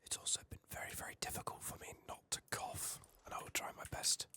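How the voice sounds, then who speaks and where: A man who is ill and hoarse, speaking in a subdued, halting, soft ASMR murmur that slips into whispers as he narrates a game. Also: no room sound. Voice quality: husky, raspy, breathy. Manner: subdued, hushed, halting with long pauses. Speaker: ASMR gaming streamer.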